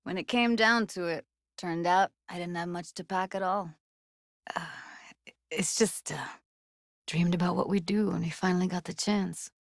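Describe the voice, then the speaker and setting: A woman's voice: lowish voice, fairly even intonation, moderate pace, dry recording, clean studio sound, lightly acted. A woman playing a guarded, blunt but tender young techie in a video game, speaking in a casual, clipped, streetwise way. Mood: soft, wistful. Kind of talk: naturalistic video game voice acting.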